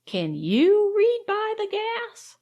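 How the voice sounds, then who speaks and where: A woman voicing a genteel, self-satisfied landlady in an audiobook, gushing and gently reproving. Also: sits high, big pitch swings, slow, lo-fi sound, voice pushed up, heavily acted. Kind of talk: audiobook character voice.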